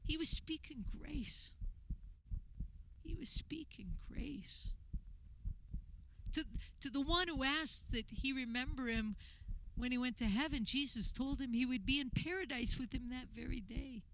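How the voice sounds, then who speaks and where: A woman playing an earnest, moved witness in a radio theater, speaking in a deliberate, reflective monologue. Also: sits high, a bit bright, slight background noise, rough recording. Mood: earnest wonder.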